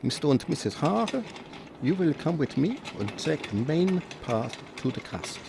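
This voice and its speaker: A man's voice: on the high side, very animated, vocal fry. A man playing a grave, commanding older professor who hunts vampires, speaking formally in an audio drama. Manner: formal, instructing, deliberate phrasing. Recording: audible background noise.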